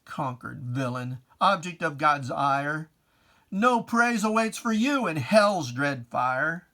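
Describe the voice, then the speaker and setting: A man's voice: a little high, lively intonation, takes it slowly, bright, forceful tone. A man giving a dramatic reading of religious verse in an emphatic, declamatory, theatrical manner. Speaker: poetry reader.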